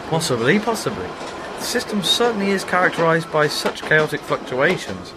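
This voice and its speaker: A man's voice: on the high side, very animated, moderate pace, noisy background. An actor playing an eccentric male time traveller who talks in a jaunty, wry, theatrical way. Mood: breezy. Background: music.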